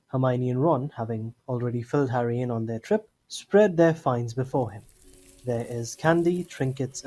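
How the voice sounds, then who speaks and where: A man's voice: medium pitch, warm tone, slightly rough. A man reading scripted story narration for an audio drama.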